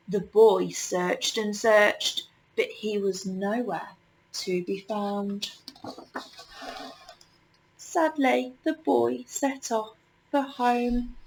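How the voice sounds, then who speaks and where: A woman reading a children's picture book aloud as a storyteller, measured and with deliberate pauses. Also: fairly high pitch, poor audio.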